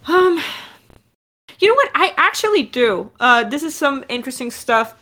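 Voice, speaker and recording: A woman's voice: sits high, big pitch swings, moderate pace, sharp tone. A woman explaining her work as a director and editor in an informal, casual Q&A style. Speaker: audio drama director and editor. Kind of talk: casual Q&A discussion.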